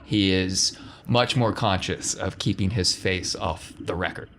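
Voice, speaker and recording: A man's voice: sits low, unhurried, fairly bright tone. A man working as game master, improvising narration and rules in an informal, colloquial way. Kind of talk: improvised tabletop game narration and casual chat. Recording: clear background noise.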